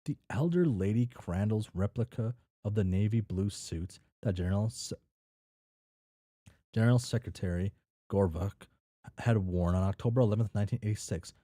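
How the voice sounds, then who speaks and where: A man with a low, soft-edged voice reads a story aloud in a casual way. He trips over words, repeats them and slips in his own sweary asides. The sound is dry, with very little room in it. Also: normal speed, no room sound. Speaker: reader reacting to a fan fiction. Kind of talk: casual read-aloud with off-the-cuff commentary.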